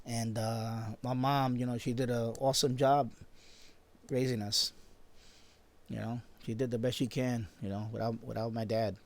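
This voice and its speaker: A man's voice: medium pitch, level tone, normal speed. A fifty-year-old man from the Bronx with a New York accent, chatting casually and rambling informally on a live stream, often repeating his phrases. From born in Puerto Rico, grew up in the Bronx, New York. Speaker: live stream host, shortwave radio hobbyist. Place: basement at home.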